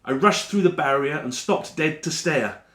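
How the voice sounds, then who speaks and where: A man performing a rhyming dramatic monologue in a theatrical, deliberate storytelling manner. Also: on the high side, a bit bright. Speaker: actor reading a monologue. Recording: roomy echo around the voice.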